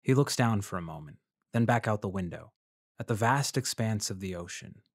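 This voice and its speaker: An actor playing a polite, measured freelance journalist who narrates his investigation in a fiction podcast. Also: lowish voice, lively intonation, no room sound, studio quality. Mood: somber, observant. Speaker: narrator and lead character.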